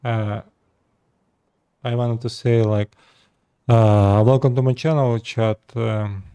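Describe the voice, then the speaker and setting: A 36-year-old man from Russia with a Russian accent, speaking halting second-language English as he talks casually to his viewers. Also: mid-range voice, fairly even intonation, mellow, smooth, dry recording. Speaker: vlogger. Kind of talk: casual personal talk to camera.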